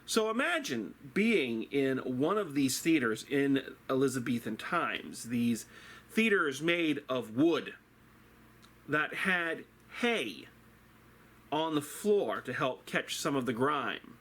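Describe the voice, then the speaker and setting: A man giving a dramatic storytelling lecture as a theatre teacher, speaking in a deliberate, theatrical way with rhetorical flourishes. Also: medium pitch, big pitch swings, a bit bright.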